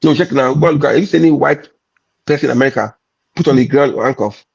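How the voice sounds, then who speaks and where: A man's voice: mid-range voice, quick pace, creaky, darkish tone, slightly rough, dull audio. A Nigerian man, a comedian, talking candidly in a conversation, emphatic and repetitive, slipping into Nigerian pidgin.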